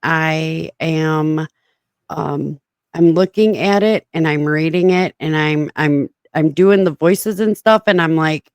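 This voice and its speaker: A woman's voice: deep voice, takes it slowly, smooth, no echo, studio quality. A woman with a smoky voice chatting casually as a podcast host, self-mocking and laughing often. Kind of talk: casual chat.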